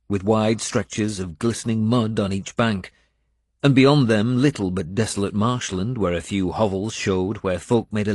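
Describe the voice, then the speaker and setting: A man reading a scripted first-person audiobook in a measured storytelling manner. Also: on the low side, darkish tone, no room sound, clean studio sound. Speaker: audiobook narrator.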